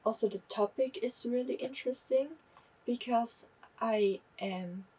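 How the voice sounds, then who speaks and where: Slow, husky woman. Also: a little high, dark tone, far from the mic, rough recording. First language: German. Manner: chatty, candid, self-correcting. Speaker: book vlogger. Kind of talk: casual book review.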